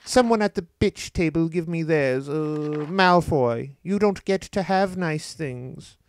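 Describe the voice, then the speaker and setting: A man voicing an imperious, smug dark wizard lord in a comic parody audiobook, droning his orders. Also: on the high side, slow, dry recording, voice pushed up. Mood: smug, drawling. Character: high-pitched noseless dark lord villain, drawling and smug.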